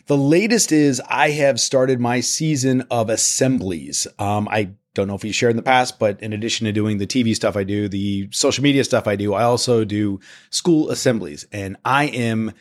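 A man's voice: on the low side, moderate pace. A man co-hosting a podcast with his wife, talking casually with enthusiasm, rambling and laughing at himself. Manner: rambling, self-deprecating, enthusiastic. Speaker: podcast co-host, TV host, motivational speaker. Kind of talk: casual married-couple chat.

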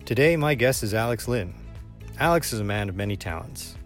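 A man hosting a podcast, introducing the show and his guest in a measured, scripted way. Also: fairly low pitch, animated. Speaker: podcast host. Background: faint music.

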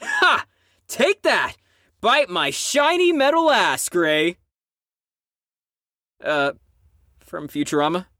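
A man's voice: high voice, wildly swooping pitch, takes it slowly, pauses often, bright, forceful tone, dry recording, studio quality, heavily acted. A man playing a brash, sarcastic, cocky and bitter teenager in an audio drama. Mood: cocky, gloating.

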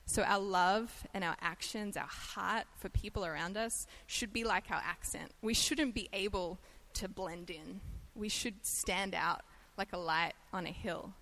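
A woman's voice: medium pitch, a little slow, hardly any pauses, a bit bright, poor audio. Australian woman preaching casually. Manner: chatty and self-deprecating, laughs easily. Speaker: guest preacher. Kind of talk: conversational sermon.

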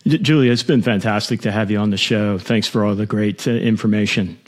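A man's voice: on the low side, a little fast. Encouraging male podcast host. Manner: friendly, encouraging, brief stumbling restarts. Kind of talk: podcast hosting.

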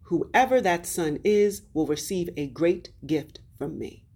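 A trickster spider father from a storybook folktale, voiced by a woman, pleads with grand drama. The delivery is husky and slow, stretching out the important words. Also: lowish voice, darkish tone, full character voice. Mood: pleading.

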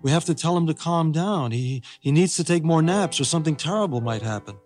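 A man's voice: fairly high pitch, lively intonation, fairly quick, darkish tone, faint music behind, pitched above the performer's own voice, natural voice. A man voicing an anxious, fretful father in a storybook, with a grave manner. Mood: alarmed. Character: anxious, alarmed father of a young girl.

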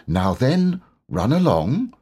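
Man as high, motherly kangaroo. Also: sing-song, slow, breathy edge, exaggerated voice. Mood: gently firm. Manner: gently firm, motherly. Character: kindly, patient mother kangaroo, gently firm.

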